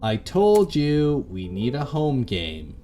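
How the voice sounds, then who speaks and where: A man's voice: mid-range voice, huge pitch swings, takes it slowly, warm tone, soft background music, pitched above the performer's own voice. A man voicing a strange little girl, eager, stubborn and bossy, in a visual novel game. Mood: demanding.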